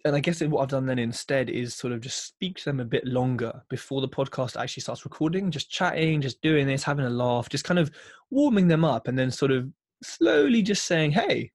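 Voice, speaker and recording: A man's voice: mid-range voice, a little fast, dry recording, studio quality. A British man talking casually as a podcast guest, in a chatty, emphatic way. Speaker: podcast host interviewed as guest. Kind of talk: casual conversational interview.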